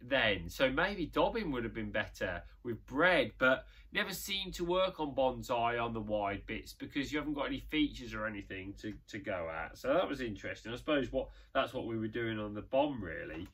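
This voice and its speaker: A man's voice: mid-range voice, expressive, moderate pace, few pauses, a bit bright, breathy edge. A young man in a tackle shop, casually talking through his past fishing matches and thinking aloud with plenty of ums. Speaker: angler and channel host. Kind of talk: casual reminiscing commentary.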